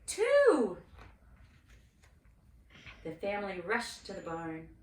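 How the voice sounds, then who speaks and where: A woman reading a children's story aloud expressively, with dramatic, deliberate phrasing. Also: mid-range voice, animated. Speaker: storybook reader, cantor. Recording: roomy, distant mic with faint noise.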